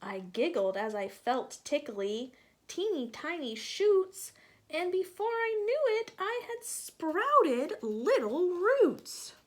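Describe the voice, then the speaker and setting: Lively, unhurried woman reading to kids. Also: very high-pitched. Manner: gentle, asks children questions, drops to soft whispers. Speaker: teacher reading aloud. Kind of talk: picture-book read-aloud for children.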